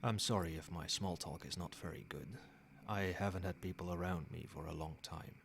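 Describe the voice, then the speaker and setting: A man playing a possessive, controlling old vampire in a soft-spoken, measured ASMR roleplay. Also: deep voice, vocal fry, a little hiss or hum. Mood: soft, courteous. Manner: measured, formal, commanding.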